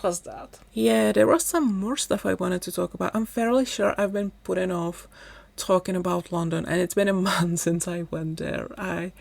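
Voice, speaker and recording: A woman's voice: lowish voice, steady tone, moderate pace, vocal fry, a little mellow, dry recording. A woman chatting casually in a voice-over for her Sims channel, tired and losing her voice late at night, rambling and correcting herself with small laughs. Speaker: Sims content creator.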